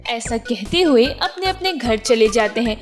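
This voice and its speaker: A woman narrates a children's moral tale from a script in a high-pitched voice. Music plays clearly behind her storytelling. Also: animated, a little slow.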